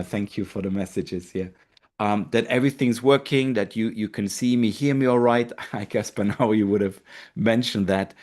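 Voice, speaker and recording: A man in his fifties from Austria speaks English with an Austrian German accent and a fairly low voice. He hosts a live webinar through a computer mic, earnest and gentle, pausing often on fillers. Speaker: webinar host, marketing director. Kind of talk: hosting a live online webinar.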